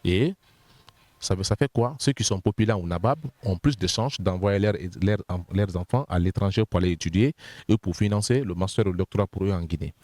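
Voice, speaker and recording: A man's voice: low-pitched, a little fast, warm tone, no echo. A man with a Guinean French accent, an official of the constitutional body, methodically explaining points one by one in an interview and sometimes repeating words as he searches.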